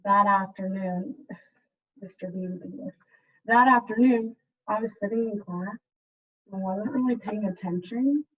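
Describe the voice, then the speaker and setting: A woman teacher reading a storybook aloud to students in an animated, chatty way, with casual asides. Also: mid-range voice, unhurried, pauses often, phone-quality sound. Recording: distant mic, thin band-limited sound.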